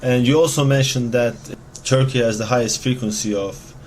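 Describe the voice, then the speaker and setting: A man's voice: mid-range voice, fairly even intonation, moderate pace, a little mellow, a little hiss or hum. A man interviewing a doctor, asking long, formal, polite questions.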